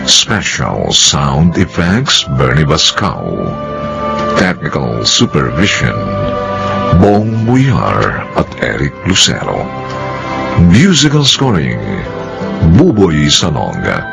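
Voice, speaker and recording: A man with a gravelly voice giving solemn, theatrical scripted narration as a radio drama narrator. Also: rumbling low, wildly swooping pitch, slow. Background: loud music.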